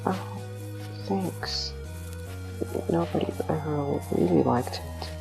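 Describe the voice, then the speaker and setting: A woman voicing a shy, gentle ghost in an audio drama, hesitant and apologetic, with a breathy half-whisper. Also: deep voice, slow pace, faint music behind, full character voice. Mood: shy, wistful. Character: shy, gentle floating ghost, unsure of itself.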